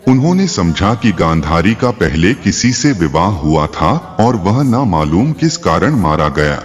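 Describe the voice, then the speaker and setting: A man narrating a scripted religious tale as a storyteller, in a measured, explanatory way. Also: sits low. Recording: long reverb, faint music behind. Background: faint background music.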